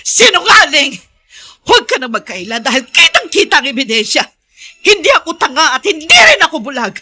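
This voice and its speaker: A suspicious, wounded wife in a radio play. Her high voice swings widely with feeling. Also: very animated, brisk, bright, forceful tone, full character voice. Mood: furious, accusing. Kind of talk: Filipino radio drama acting.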